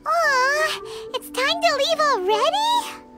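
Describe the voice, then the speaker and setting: Game role: bubbly pocket-sized fairy girl, squeaky, sky-high, very animated delivery. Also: slow pace, full character voice. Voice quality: squeaky, childlike. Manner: refers to self in third person, exclamatory. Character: tiny childlike floating fairy companion girl, bubbly and excitable. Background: music.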